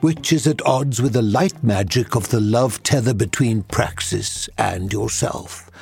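An actor playing a grave sorcerer mentor in a fantasy audio drama, explaining things earnestly and urgently. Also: male voice, mid-range voice, unhurried, creaky, darkish tone, husky, heavily acted.

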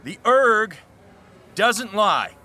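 Harsh, high-pitched young rowing team captain. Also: male voice, sing-song, drawn-out words, pushed and bright, exaggerated voice. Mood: stern, challenging. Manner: commanding, harsh, blunt. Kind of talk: audio drama acting.

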